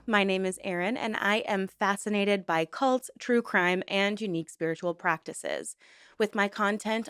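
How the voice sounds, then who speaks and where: A woman's voice: mid-range voice, fairly bright tone, smooth, no echo, clean studio sound. Nasal, stuffed-up woman vlogger. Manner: earnest, conversational. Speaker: YouTube host. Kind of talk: true-crime commentary vlog.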